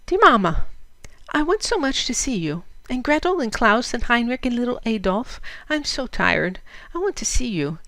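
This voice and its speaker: A woman's voice: on the high side, very animated, normal speed, a bit bright, dry recording, voice pushed up. A woman voicing a weary, homesick eleven-year-old servant girl reading out her letter, plaintive and earnest, in a storybook reading. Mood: homesick, pleading.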